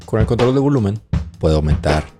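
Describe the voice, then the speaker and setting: Male tutorial instructor, deep voice, informal and explanatory, thinking aloud, instrument tracks clearly heard alongside. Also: very animated, quick pace, over music. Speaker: audio mixing tutorial instructor. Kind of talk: informal spoken software tutorial. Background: drum and bass tracks playing during demonstration.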